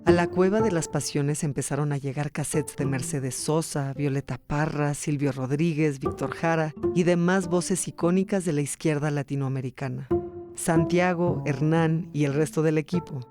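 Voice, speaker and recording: A man's voice: fairly high pitch, fairly even intonation, normal speed, over loud music. A man reading scripted documentary narration as the narrator of a podcast.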